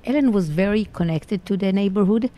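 A woman's voice: mid-range voice, fairly quick, mellow. A woman from Israel with an Israeli accent, reminiscing in an interview and searching for words as she goes. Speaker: longtime theater company member.

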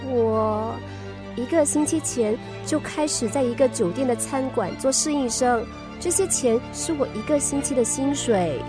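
An earnest teenage daughter acted by a woman in a radio drama, with a high, youthful voice. She is hesitant and pleading yet stubborn, with faint music behind her. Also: normal speed, lightly acted. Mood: earnest, apologetic. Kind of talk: Mandarin radio drama acting.

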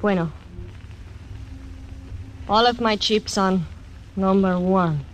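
A woman's voice: mid-range voice, slow, slight background noise, lightly acted, rough recording. An actress playing a seductive, scheming woman gambler, in 1940s radio acting. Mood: coy. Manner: coaxing, pleading.

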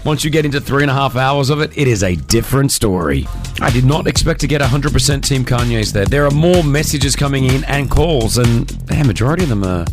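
A man's voice: medium pitch, expressive, a little fast, over music. A man hosting a radio show, chatting casually with a co-host and taking listener calls, laughing often.

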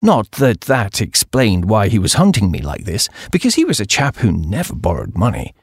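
A man's voice: lowish voice, huge pitch swings, moderate pace, husky, dry recording, studio quality. A man with an English accent reading a comic story as scripted audiobook narration.

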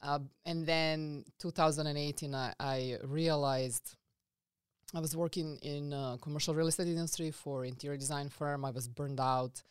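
A woman from Serbia with a Serbian accent, a guest coach telling her story in a casual interview, earnest and halting with many fillers and restarts. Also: sits low, steady tone, clean tone, no room sound, studio quality.

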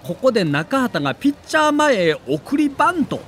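A man's voice: very high-pitched, sing-song, moderate pace, noisy background. A man delivering dramatic scripted narration of a sports documentary, with theatrical, emotive storytelling in the role of a reporter.

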